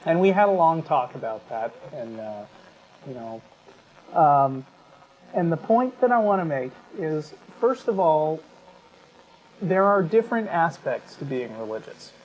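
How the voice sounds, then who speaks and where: Halting American man, slightly dull audio. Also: fairly high pitch, big pitch swings, darkish tone, slight background noise. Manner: halting, pausing mid-sentence. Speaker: talk show host. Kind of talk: conversational argument on a talk show.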